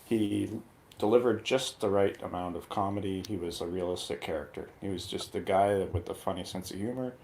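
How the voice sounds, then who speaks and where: A man speaking as a guest reviewer, giving his opinions on films informally and pausing as he thinks. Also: deep voice, creaky, a little mellow, a little hiss or hum. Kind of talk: casual film discussion.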